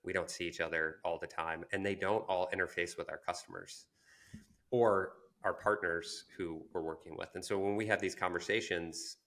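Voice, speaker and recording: A man co-hosting a podcast, talking casually and reflectively, pausing to search for his words. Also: low-pitched, fairly even intonation, a bit bright. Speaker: podcast co-host.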